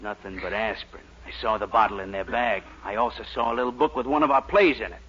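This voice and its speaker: An actor playing a gruff, wily football coach, raspy and blunt, in a 1940s radio sitcom. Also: male voice, lowish voice, steady tone, fast, slight background noise, lightly acted. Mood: sly, gruff. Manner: blunt, forceful. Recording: muffled 1940s radio recording.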